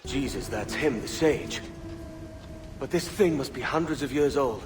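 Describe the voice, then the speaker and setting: A man's voice: mid-range voice, measured pace, faint music behind, lightly acted. A man playing a roguish, money-minded young pirate captain in a video game, flippant, colloquial and swaggering. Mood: frank, wary. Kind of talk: dramatic video game acting.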